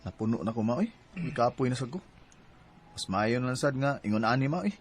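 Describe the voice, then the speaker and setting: A man's voice: medium pitch, expressive, fairly quick, slight background noise, natural voice. A man with a Cebuano Filipino accent playing a hurt, fed-up young boyfriend who complains plaintively in a radio drama. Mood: resigned. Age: young adult. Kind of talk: Bisaya radio drama acting.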